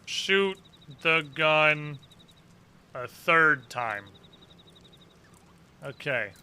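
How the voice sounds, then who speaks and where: A man's voice: on the high side, animated, very slow, pushed and bright, smooth. A man giving casual live commentary as he plays a game, muttering to himself and swearing freely. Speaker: game streamer.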